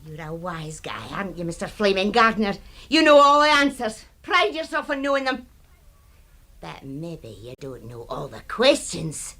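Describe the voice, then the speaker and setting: A woman's voice: medium pitch, sing-song, moderate pace, sharp tone, exaggerated voice. An actress playing a bitter, defiant young woman in a radio drama, with an emotional, dramatic delivery. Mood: taunting, accusing.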